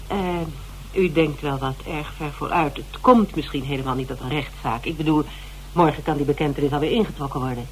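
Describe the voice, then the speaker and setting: An actress playing a polite, probing investigator in a radio play, asking questions. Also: female voice, low voice, fairly quick, natural voice, low-quality recording. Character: polite, probing woman investigator drawing people out. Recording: hum and hiss of old radio recording.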